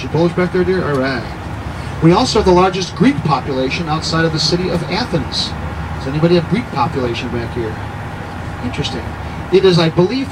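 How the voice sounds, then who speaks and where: A man in his sixties giving a guided city tour to a group, chatty and jokey, often quizzing his listeners. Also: fairly high pitch. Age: 60s. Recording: noisy outdoor recording with traffic.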